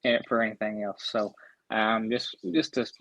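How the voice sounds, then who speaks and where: A man's voice: lowish voice, flat delivery, normal speed, fairly bright tone, no room sound. A young man from Central Kentucky with a Southern drawl, chatting easygoingly as a podcast guest and restarting his words often. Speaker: guest writer. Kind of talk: casual chat. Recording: dull lo-fi sound.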